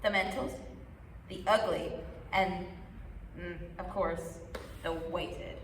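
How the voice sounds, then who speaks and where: A woman's voice: fairly low pitch, fairly even intonation, slow pace. A woman voicing a vain, haughty schoolgirl diva with pompous theatrical delivery in a puppet comedy. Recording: echoey hall, distant mic, faint noise.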